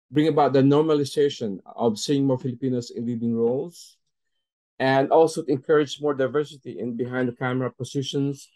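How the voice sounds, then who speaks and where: A middle-aged man interviewing a guest over a video call, asking long, measured questions. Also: mid-range voice, a little mellow. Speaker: entertainment journalist, interviewer.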